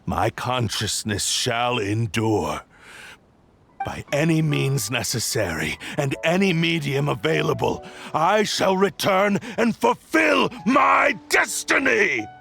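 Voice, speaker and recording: A man's voice: on the high side, big pitch swings, slow, creaky, heavily acted. A man playing an arrogant, vengeful sentient sword that possesses people, speaking in a grandiose, menacing villain style for a video game. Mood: defiant, raging. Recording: loud background music.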